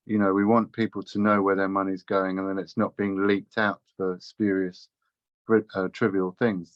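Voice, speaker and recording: Low-voiced man, halting and dry. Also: no echo, lo-fi sound. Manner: halting, many fillers and restarts. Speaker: community project co-founder. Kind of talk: casual video call chat.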